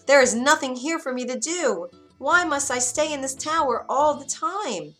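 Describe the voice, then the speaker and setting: A yearning young girl from a fairy tale, played by a woman reader, speaks in a bright, lifted voice. She is plaintive, insistent and stubborn. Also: on the high side, animated, normal speed, soft background music, pitched above the performer's own voice.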